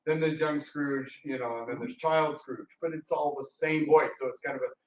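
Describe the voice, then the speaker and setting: Higher-pitched man talking in a roomy space. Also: fairly even intonation, moderate pace. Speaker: radio theatre actor and panel speaker. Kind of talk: casual, rambling talk to an audience. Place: indoor talk in a roomy space. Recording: roomy, distant, noisy live room recording.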